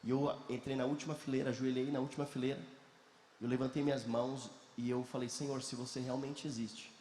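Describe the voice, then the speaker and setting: Fast-talking man in an echoey hall. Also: medium pitch, little pitch movement, dark tone, recorded from a distance, a little hiss or hum. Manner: earnest, repeats phrases for emphasis. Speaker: guest speaker. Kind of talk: personal testimony to an audience. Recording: echoey hall, mic from afar.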